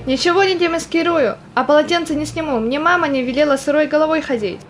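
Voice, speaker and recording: A woman's voice: high voice, fast talker, soft background music, close to an everyday voice. A woman in an audio play acting a young, stubborn woman soldier who tells of the war in plain words. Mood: defiant. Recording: faint background noise and ambience.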